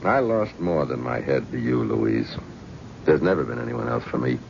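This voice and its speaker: An actor playing a middle-aged devoted friend, gentle, wry and rueful. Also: male voice, rumbling low, lively intonation, normal speed, creaky, slight background noise, heavily acted. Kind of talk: 1970s radio drama acting. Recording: dull vintage radio broadcast.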